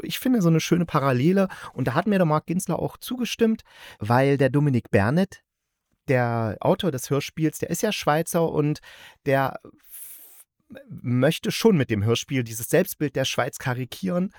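A man's voice: medium pitch, dry recording, studio quality. A man hosting a podcast, chatting casually through a review, often searching for words and correcting himself, with a playful streak. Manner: playful, jokey. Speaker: podcast host reviewing radio plays.

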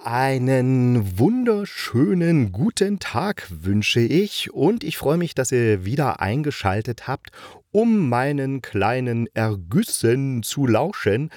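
A man's voice: medium pitch, big pitch swings, slow pace, darkish tone, dry recording, studio quality. A man hosting a podcast solo, talking casually in a playful, self-ironic, rambling way as he reviews. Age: adult. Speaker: podcast host reviewing audio dramas.

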